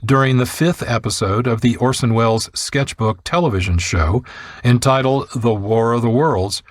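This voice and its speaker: A man's voice: mid-range voice, moderate pace, dry recording. A man reading scripted narration as the host of a radio program, on a close studio mic.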